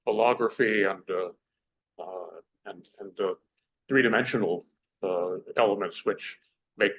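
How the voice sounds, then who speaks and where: A man moderating an online panel, introducing the speakers in a friendly but halting way, with many "uh"s. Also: fairly low pitch, low-quality recording.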